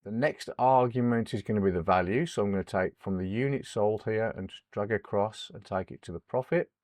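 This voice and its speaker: Croaky man teaching spreadsheets. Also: on the low side, fairly quick, a little mellow, dry recording, studio quality. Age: middle-aged. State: hoarse from a cold. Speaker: host of an Excel tutorial channel. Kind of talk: screen-recorded software tutorial.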